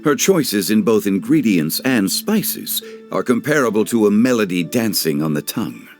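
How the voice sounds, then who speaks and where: Composed formal scholar type in a game, low voice, eloquent, soft music under. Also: male voice, sing-song, moderate pace. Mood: calm. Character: composed, courteous, learned gentleman consultant. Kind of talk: dubbed video game cutscene dialogue.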